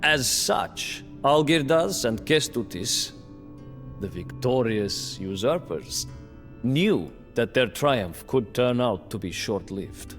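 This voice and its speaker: A man's voice: mid-range voice, lively intonation. Proud, bitter captive nobleman, cavernous reverb. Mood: grave, proud. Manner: haughty, theatrical, deliberate. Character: bitter, proud imprisoned Lithuanian nobleman recounting his downfall. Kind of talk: dramatic storytelling narration for a strategy game cutscene. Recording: long reverberant hall, music underneath.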